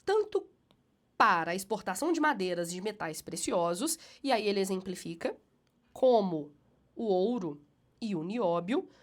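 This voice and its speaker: A woman teacher correcting a student essay, slowing to read passages aloud with emphatic rises, then explaining briskly; she has a cold and sounds a bit nasal. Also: medium pitch.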